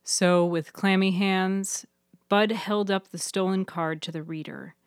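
A woman reading a serial fiction story as its narrator, in measured, clearly phrased storytelling. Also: medium pitch, fairly even intonation, no echo, studio quality. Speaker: author and narrator of a fiction podcast. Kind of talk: scripted audiobook-style story narration.

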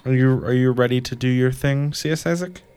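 A man's voice: mid-range voice, a little fast, a little mellow, clean tone. A young man playing a tabletop game, brash and joking, swearing freely. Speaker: player.

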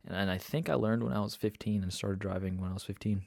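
Young man from Indonesia, rambling conversational guest with a low voice, dry close sound. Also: steady tone, quick pace, vocal fry, darkish tone, no echo. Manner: rambling storyteller, many fillers and restarts, laughs often. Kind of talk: casual conversation.

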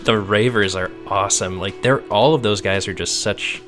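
A man with a fairly low voice hosts a casual video game podcast. Music plays clearly behind him as he talks in a conversational way. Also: steady tone, normal speed. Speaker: podcast host. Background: background music.

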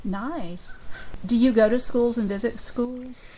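A woman's voice: a little high, slow, dark tone, rough recording. A woman hosting a conversational interview, asking questions in an encouraging, affirming way. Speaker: interviewer, host. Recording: clear background ambient noise.

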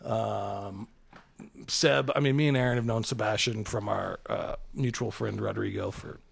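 A man's voice: on the low side, steady tone, moderate pace, creaky, husky. A man co-hosting a podcast, talking in a loose, teasing, rambling way with lots of fillers. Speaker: podcast co-host. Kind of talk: casual crude chat.

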